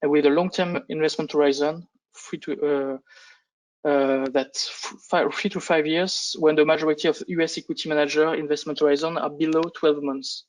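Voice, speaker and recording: A man who speaks English as a second language gives a business presentation on a conference call, with halting delivery and frequent false starts. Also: on the high side, level tone, poor audio. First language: not English. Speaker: fund analyst presenting on a panel.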